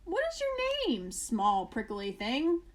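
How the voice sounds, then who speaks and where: A woman voicing a very large male rhinoceros in a storybook, a gruff bully who threatens and mocks. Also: sits high, huge pitch swings, takes it slowly, full character voice. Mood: demanding.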